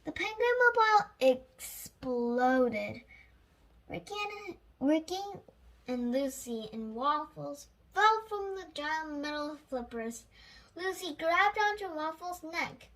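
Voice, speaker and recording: A child reading a storybook aloud in a halting way, correcting slips along the way. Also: high voice, big pitch swings, fairly bright tone, rough recording.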